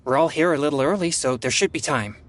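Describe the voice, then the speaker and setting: A man's voice: fairly high pitch, quick pace, heavy background noise, close to an everyday voice. A man voicing an earnest, friendly youth in a fantasy video game. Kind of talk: animated video game voice acting.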